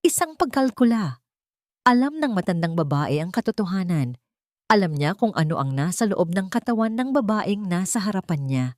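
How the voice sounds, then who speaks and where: A woman's voice: mid-range voice, big pitch swings, moderate pace, darkish tone, dry recording, studio quality. A woman narrating a scripted audiobook story in a dramatic storytelling style.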